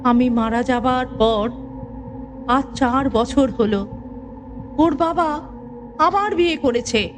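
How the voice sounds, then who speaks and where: An actress voices a dead mother's spirit in a horror audio story, sorrowful and fiercely insistent. Her voice is high and solemn. She takes her words slowly, over clearly noisy ambience. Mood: anguished, determined.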